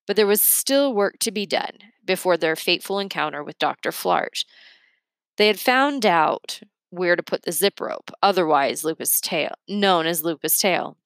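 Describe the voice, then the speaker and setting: A woman's voice: lowish voice, fairly bright tone, no room sound. Woman reading a story aloud, close dry sound. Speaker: reader of a children's book. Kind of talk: read-aloud storytelling with character voices.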